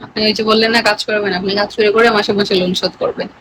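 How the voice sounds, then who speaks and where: A woman's voice: mid-range voice, level tone, very fast, background noise. A woman with a Bangladeshi accent chatting casually with live stream viewers in a playful, teasing manner.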